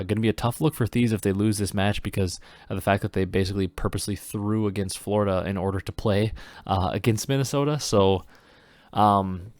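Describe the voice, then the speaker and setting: A young man from Wisconsin hosts a solo podcast with a deep, low voice. He is stuffed up from a cold and rambles casually, with lots of "uh" fillers and restarts. The sound is dry and close, with no room echo. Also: creaky, a little mellow. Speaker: podcast host.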